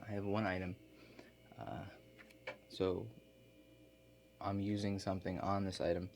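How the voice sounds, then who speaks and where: A man playing a laid-back construction contractor in an ASMR role play, explaining things to a client in a halting, matter-of-fact way. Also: sits low, a little mellow, a little hiss or hum.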